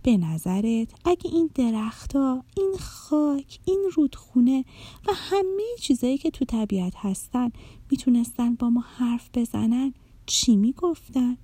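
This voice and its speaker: A woman voicing a patient, caring mother who calmly explains things in a children's story. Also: on the high side, slightly rough, dry recording. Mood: gentle. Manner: calm, explaining gently.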